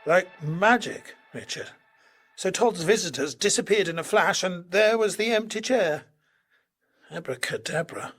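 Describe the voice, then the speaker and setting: An actor playing a colonel who recounts a crime tale with relish, in husky, dramatised period narration. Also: male voice, high-pitched, animated, measured pace, fairly bright tone, soft background music, heavily acted. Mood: intrigued.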